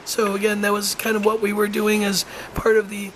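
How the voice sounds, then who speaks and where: Hoarse, halting narrator with a flat voice. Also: medium pitch, slight background noise, poor audio. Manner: halting, frequent ums and restarts. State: hoarse, losing voice. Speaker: wolf center educator. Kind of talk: informal voice-over narration.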